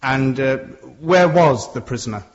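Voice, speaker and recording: An actor playing a hesitant, formal Victorian lawyer, questioning in a British radio drama. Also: male voice, medium pitch, lively intonation, lightly acted. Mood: probing. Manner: formal, halting. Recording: muffled, noisy, clicking lo-fi recording.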